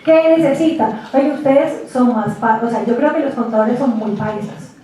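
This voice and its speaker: A woman giving a university lecture, chatty and lively, often asking the class rhetorical questions. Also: fairly high pitch, moderate pace. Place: lecture room. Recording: echoey hall, distant mic.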